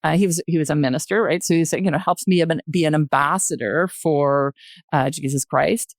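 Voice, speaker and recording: Older woman, low pitch, conversational storytelling, thoughtful pauses, dry close microphone. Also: no echo. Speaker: podcast guest, retired journalist and producer. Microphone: close podcast microphone.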